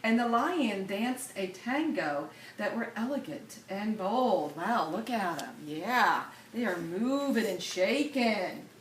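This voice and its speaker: Slow-paced woman reading aloud to children. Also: mid-range voice, animated. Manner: pauses to prompt and echo children's answers. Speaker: teacher reading a picture book to young children. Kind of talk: expressive storybook read-aloud with questions to children. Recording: echoey room, distant mic, noisy background.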